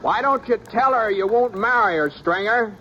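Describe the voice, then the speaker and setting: A cool gunman delivers cutting words. His tone is bright and tense and sits fairly high, on a dull old radio recording. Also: moderate pace, a little hiss or hum. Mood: taunting. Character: male gunman taunting an outlaw, cool. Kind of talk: 1950s radio acting.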